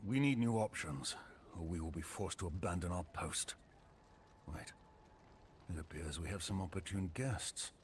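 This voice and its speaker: A man plays a battle-worn captain in a fantasy game. His voice is very deep, with solemn, formal, measured delivery. Faint noise sits behind it. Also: close to an everyday voice. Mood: grave, thankful. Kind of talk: dramatic video game acting.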